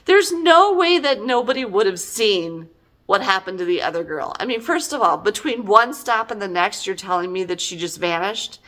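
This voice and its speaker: A woman's voice: medium pitch, animated, moderate pace, fairly bright tone. A middle-aged woman giving a casual, chatty book review in an exasperated, emphatic, conversational way.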